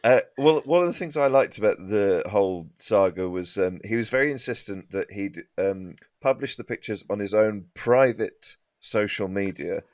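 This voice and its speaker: A man's voice: lowish voice, normal speed, hardly any pauses, a little mellow, dry recording. A man chatting casually as a guest on a comic news panel, adding dry remarks.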